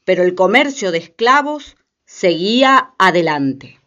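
A woman's voice: mid-range voice, big pitch swings, unhurried, lo-fi sound, heavy background noise. A woman reading scripted narration for a radio play. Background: faint music.

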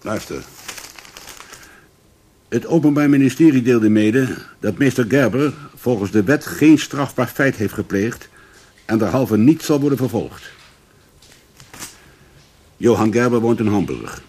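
A man acting a preoccupied, earnest investigator in a radio drama, husky and conversational. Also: mid-range voice, animated, measured pace, long pauses, darkish tone. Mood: earnest, insistent.

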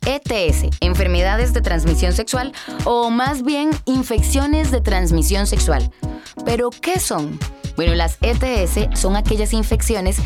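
A woman's voice: mid-range voice, animated, measured pace, music in the background. A woman hosting a youth radio programme with upbeat scripted presenting.

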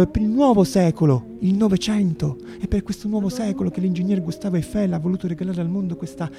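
A man's voice: high voice, vocal fry. A man narrating radio theatre as an actor-storyteller, dramatic and emphatic, repeating phrases for effect.

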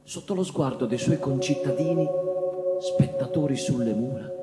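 An actor playing a wistful Italian officer of the First World War, reciting his trench memoir theatrically, with drawn-out words and swelling, fading emphasis. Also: mid-range voice, lightly acted. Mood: vivid, emphatic. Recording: echoing voice mixed with music. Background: guitar and percussion music.

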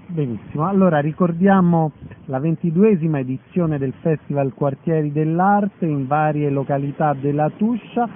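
Male radio interviewer, fairly high voice, narrowband audio with a faint layer of noise. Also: moderate pace. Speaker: radio host.

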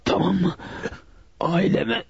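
An actor playing a gravely wounded robber in a radio drama, weakly gasping out broken phrases as he dies. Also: high voice, slow pace, no room sound, full character voice. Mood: dying, pleading. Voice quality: weak, gasping, breathy whisper. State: dying, struggling for breath. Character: gravely wounded male robber, dying, loyal to his boss. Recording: dull lo-fi radio recording.

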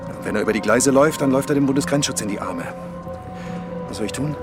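An actor playing a gruff, sarcastic, bossy police squad leader in a radio crime drama. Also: male voice, medium pitch, quick pace, over music, natural voice. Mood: tense, wry. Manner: commanding, sardonic.

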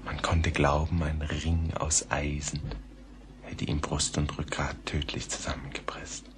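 An actor playing a grave, haunted man who slowly recounts a tragedy in a brooding radio play, sometimes near a whisper. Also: very deep voice, little pitch movement. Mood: somber, measured. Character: grave, haunted male narrator recalling a tragedy. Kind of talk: German radio play narration, letter-like storytelling. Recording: faintly noisy old radio play recording.

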